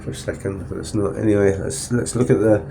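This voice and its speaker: A man's voice: on the low side, fairly even intonation, fairly quick, vocal fry, warm tone, a little hiss or hum. Stuffy-nosed man rambling to camera. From England. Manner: rambling, chatty, self-correcting. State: stuffed-up nose, sniffly. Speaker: travel vlogger. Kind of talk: casual vlog narration. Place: inside a Ferris wheel cabin at night.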